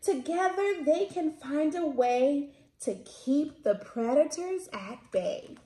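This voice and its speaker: A woman in her thirties hosting children's storytime, reading picture books aloud in an expressive, sing-song way. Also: high voice, slow pace. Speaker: children's storytime host at a library. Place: indoors, small plain-walled room. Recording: roomy echo from camera mic.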